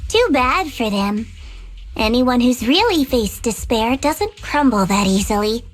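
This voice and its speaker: Game's tough woman fighter, higher register, dry, cool and unshakable, music behind. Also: lively intonation, measured pace. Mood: cool, scornful. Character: cool, defiant, world-weary woman fighter. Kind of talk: video game voice acting.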